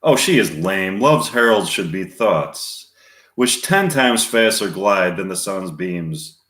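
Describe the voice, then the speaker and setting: A man reads a restless, lovesick young girl in slow, earnest verse, with a low voice that swings widely in pitch. The reading halts now and then. The room around it is echoey. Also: lively intonation, a bit bright, exaggerated voice. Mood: impatient. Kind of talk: amateur Shakespeare reading.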